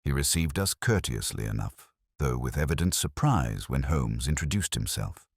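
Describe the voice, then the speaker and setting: A man narrating a mystery story in a measured, formal, literary manner. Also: extremely low pitch, very animated, vocal fry, breathy edge, no room sound, studio quality. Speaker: audiobook narrator. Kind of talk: scripted dramatic mystery narration.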